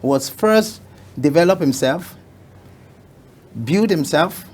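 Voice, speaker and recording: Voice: medium pitch, animated, takes it slowly, a little mellow. A talk show guest telling an emphatic story, often repeating phrases for effect. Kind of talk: motivational talk show conversation.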